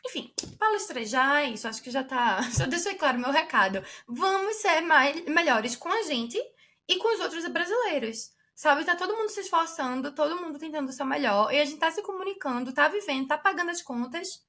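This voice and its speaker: High, bright Brazilian woman. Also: fairly quick. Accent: Brazilian Portuguese. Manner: animated and emphatic, lively rises. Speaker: podcast co-host. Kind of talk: casual chat.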